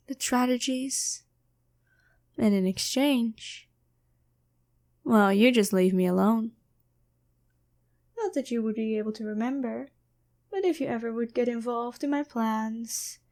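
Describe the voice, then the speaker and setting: A woman's voice: a little high, gravelly, a little mellow. A woman voicing a taunting female demon in a possessed girlfriend's body, teasing and coolly bargaining in an ASMR fantasy roleplay. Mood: sly, persuasive.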